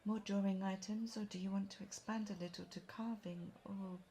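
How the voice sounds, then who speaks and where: A woman's voice: mid-range voice, fairly even intonation, moderate pace, dark tone, close to an everyday voice. A woman playing a friendly, chatty young guide from another world in an audio roleplay. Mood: friendly, welcoming. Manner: chatty, welcoming, giggly. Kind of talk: roleplay audio drama addressed to the listener. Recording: clear background noise.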